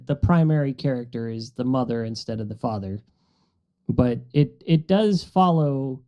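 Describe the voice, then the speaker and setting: A man's voice: mid-range voice, warm tone. A man on a podcast panel, explaining things in a casual, conversational way.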